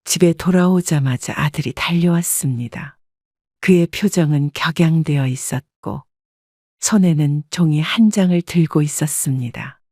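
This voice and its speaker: Casting: woman narrator, calm scripted storytelling, dry close sound. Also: sits low, normal speed, no echo.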